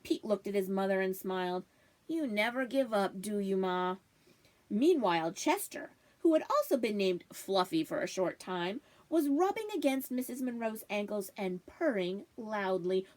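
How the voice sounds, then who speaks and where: A woman storyteller giving an expressive read-aloud of a children's novel. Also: mid-range voice, moderate pace, hardly any pauses. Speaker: library storyteller.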